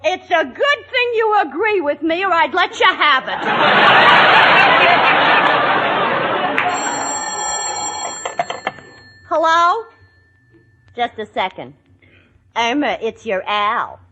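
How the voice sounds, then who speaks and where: An actress playing a brash, touchy, bossy friend who talks in blunt wisecracks in a 1940s radio comedy. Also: female voice, high voice, expressive, slow, long pauses, pushed and bright, lo-fi sound, full character voice. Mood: indignant, bossy. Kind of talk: 1940s radio sitcom acting. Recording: noisy 1940s radio recording.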